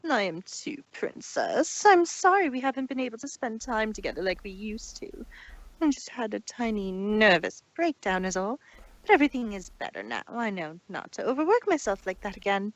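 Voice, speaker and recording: A woman voicing an affectionate adult mother pony with a warm, reassuring manner in a story reading. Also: mid-range voice, very animated, moderate pace, no echo, voice pushed up, low-quality recording. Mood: tender, reassuring.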